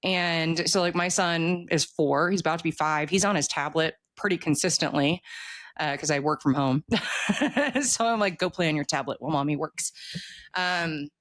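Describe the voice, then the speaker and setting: A woman with a low-pitched voice talks in a relaxed interview style. The sound is close and dry. She explains her views earnestly, often framing them as rhetorical questions. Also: normal speed, no echo. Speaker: author being interviewed. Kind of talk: casual conversational interview.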